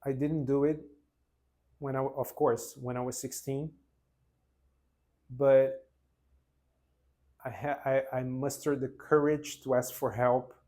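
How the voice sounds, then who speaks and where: Brazilian guy in his 40s, portuguese accent, talks earnest and halting, lots of restarts, just telling his own story off the cuff. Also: mid-range voice, little pitch movement, dark tone. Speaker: vlogger. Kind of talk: spontaneous personal testimony.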